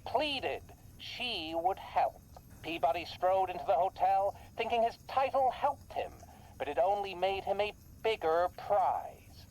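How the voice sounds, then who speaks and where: An eerie radio storyteller from a horror game, performed by a woman, speaking at a middling pitch. The delivery is folksy and theatrical, leaning on dramatic pauses to spin macabre scripted tales. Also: warm tone, close to an everyday voice, low-quality recording. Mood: folksy, ominous.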